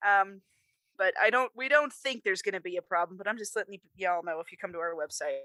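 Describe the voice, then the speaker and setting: A woman's voice: medium pitch, fast, pushed and bright, no room sound. An American woman co-hosting a podcast in casual chat, with folksy turns of phrase. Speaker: podcast co-host.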